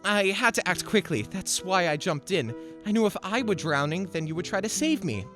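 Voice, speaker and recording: A man playing a gentle, guileless guardian angel in a live radio play, earnest and matter-of-fact. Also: high-pitched, expressive, moderate pace, soft background music. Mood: earnest, reassuring.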